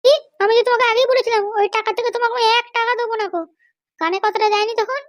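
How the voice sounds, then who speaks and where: A man voicing a bossy, short-tempered middle-aged cartoon wife with a West Bengal accent and a pitched-up voice. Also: fairly even intonation, rapid-fire, fairly bright tone, no room sound, clean studio sound. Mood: scolding. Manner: scolding, threatening. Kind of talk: comic cartoon dialogue.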